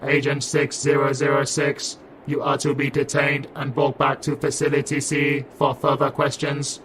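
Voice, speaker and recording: A man playing a cold male robot sentry in an audio roleplay. The delivery is flat, formal and emotionless, set against loud vehicle-like background noise. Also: medium pitch, normal speed, natural voice. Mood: cold, commanding. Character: cold official robotic sentry issuing detention orders.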